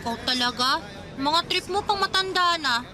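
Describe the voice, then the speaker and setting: A woman playing a lively, restless teenage girl in a radio drama, teasing and playful. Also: high voice, normal speed, crowd noise. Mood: teasing, breezy. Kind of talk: Tagalog radio drama acting. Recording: music and vehicle noise behind voice.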